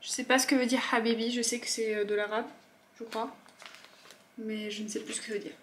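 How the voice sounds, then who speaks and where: A young woman chatting casually as she unboxes beauty products at home, with quick asides and murmured afterthoughts. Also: a little high, flat delivery, a little hiss or hum. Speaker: beauty vlogger.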